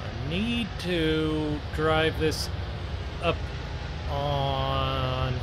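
A man with a slightly higher-pitched voice hosts a gameplay video in a casual role-play style. He talks very slowly and in a laid-back way, narrating his own actions to viewers. Clear engine and vehicle noise runs behind him. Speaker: gaming video host. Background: farming game vehicle and outdoor sounds.

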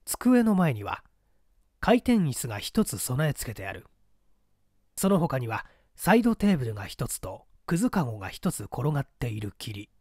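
A man reading a mystery story as a scripted, dramatic narration in a deliberate, theatrical storytelling manner. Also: mid-range voice, very animated, a little mellow, dry recording, studio quality. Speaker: audiobook narrator.

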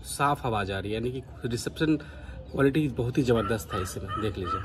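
A man's voice: medium pitch, a little fast, noisy background. A man giving a casual live demonstration as a vlogger. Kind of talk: casual live demo commentary.

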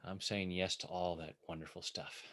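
A man working as a tapping coach, leading guided affirmations in short, measured phrases meant to be repeated. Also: low voice, little pitch movement, a bit bright.

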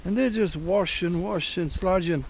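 A man's voice: high voice, measured pace, gravelly, slight background noise, low-quality recording. Rambling man on a bush walk. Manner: rambling, self-correcting, swears casually. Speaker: prospecting vlogger. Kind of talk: rambling outdoor walkthrough. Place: bush forest outdoors, old diggings. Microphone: head-mounted action camera.

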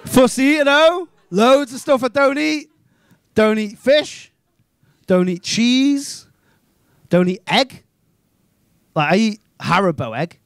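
Man, stand-up comic, high voice, crude animated ranting, handheld mic in a small club. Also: slow pace, gravelly, long pauses, clean tone, no room sound, studio quality. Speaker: stand-up comedian. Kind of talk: stand-up comedy set. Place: small comedy club stage, live audience.

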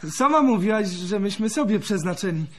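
Naive young musician, very high male voice, halting, slight stammer, earnest, devoted, radio drama. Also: expressive. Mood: tender, earnest.

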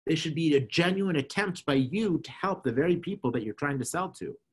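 A man's voice: fairly high pitch, animated, a little fast, slightly rough. A middle-aged man with a nasal voice, giving earnest, coaching advice as an interview guest over a video call. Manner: earnest, coaching, repeats phrases. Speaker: interview guest, marketing consultant. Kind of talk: explaining advice in an online talk. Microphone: video call webcam.

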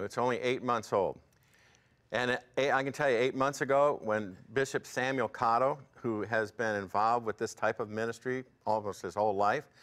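A man's voice: mid-range voice, a bit bright. Plain-spoken man in his sixties. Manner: plain-spoken storyteller, fervent. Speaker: ministry board member, guest. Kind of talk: interview testimony. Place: television studio set, indoors.

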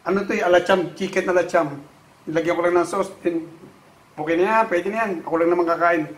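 Filipino man, forties, slightly high voice, halting tutorial chat, faint room hiss. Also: steady tone, low-quality recording. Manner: chatty, halting with many fillers, mixing languages. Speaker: channel host and software tutor. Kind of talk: casual vlog chat and screen tutorial. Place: small room at home, indoors.

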